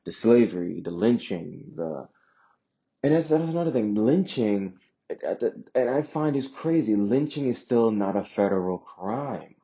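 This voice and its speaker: A man's voice: fairly low pitch, expressive, unhurried, mellow. A man from Jamaica giving a casual opinion monologue, emphatic, repeating phrases and posing rhetorical questions in slightly muffled audio. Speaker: vlogger sharing personal views.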